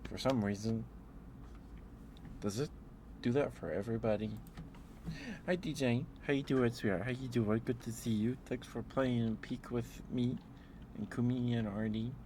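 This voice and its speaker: A man streaming ASMR, chatting in a soft, drowsy, rambling way close to the microphone. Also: mid-range voice, steady tone, moderate pace, long pauses, slight background noise. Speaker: live streamer. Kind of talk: rambling ASMR livestream chat.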